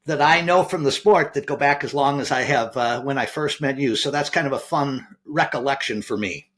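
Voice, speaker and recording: A man's voice: mid-range voice, normal speed, a bit bright. A man hosting a podcast, introducing his guest and asking viewers to subscribe, eager and prone to restarting his sentences. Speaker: podcast host.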